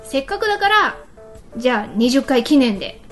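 High-voiced woman hosting over soft music. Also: big pitch swings, a little fast. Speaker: radio show host. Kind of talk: casual chat and cooking narration. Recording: background music under the voice. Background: background music.

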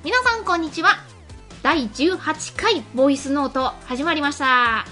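Female radio host, high voice, animated intonation, casual chat and announcements. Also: measured pace, faint music behind. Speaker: main host of an internet radio show.

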